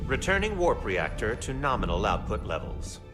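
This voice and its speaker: A man's voice: on the low side, wildly swooping pitch, moderate pace. A man playing a composed, cautious Vulcan starship engineer in a video game, speaking in formal, precise sentences. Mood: grave, controlled. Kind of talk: video game dramatic acting. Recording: loud music over the voice.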